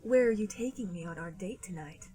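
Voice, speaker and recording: A woman's voice: mid-range voice, expressive, brisk, mellow, breathy edge. A woman voicing a flirty adult bat woman, reading her lines with overdone seductiveness for a comedy podcast.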